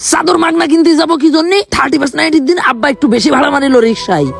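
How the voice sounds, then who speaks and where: Man acting high-pitched, touchy young village guy. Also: very fast, gravelly, fairly bright tone, faint music behind, exaggerated voice. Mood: indignant. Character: touchy, thrifty young man fussing over his new shoes. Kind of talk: comedy skit acting.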